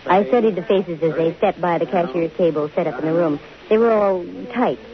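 An actress playing a dry, wisecracking, self-possessed young private detective in a 1950s radio drama. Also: female voice, fairly low pitch, a little fast, crowd noise, close to an everyday voice. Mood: cool narrating. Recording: hissy, muffled 1950 radio broadcast.

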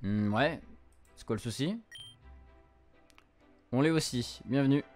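A man's voice: medium pitch, slow pace, a little mellow. A young man doing casual playthrough commentary, informal and hesitant as he translates game text aloud on a close streamer mic. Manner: informal, hesitant, stumbling while translating on the fly. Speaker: streamer, let's-play host. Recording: music behind the voice. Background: game music.

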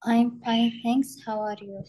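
A woman from Saudi Arabia, an English student reading textbook dialogues aloud slowly and hesitantly on a video call. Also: fairly high pitch, flat delivery, dark tone, low-quality recording.

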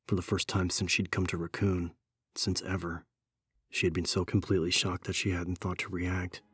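Deep-voiced man narrating horror. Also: level tone, normal speed, slightly rough, no room sound, studio quality. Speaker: audiobook narrator. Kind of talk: scripted dramatic horror narration. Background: background music.

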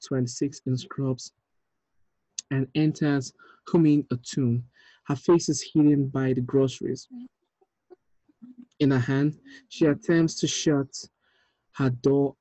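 A man in his thirties reading screenplay directions aloud in a measured, deliberate way, with small stumbles. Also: medium pitch, warm tone, no echo, rough recording. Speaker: writer reading stage directions at a table read. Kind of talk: script narration, reading aloud. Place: indoor room, on a video call.

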